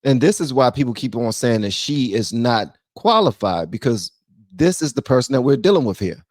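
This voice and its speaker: A man's voice: mid-range voice, lively intonation, moderate pace, no room sound, studio quality. A man hosting a reaction commentary channel, talking emphatically and firing off rhetorical questions.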